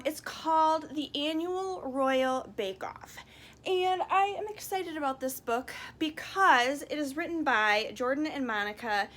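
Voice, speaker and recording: A woman speaking casually and reading a picture book aloud to children in an expressive, friendly way. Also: sits high. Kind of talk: casual chat and children's storybook read-aloud.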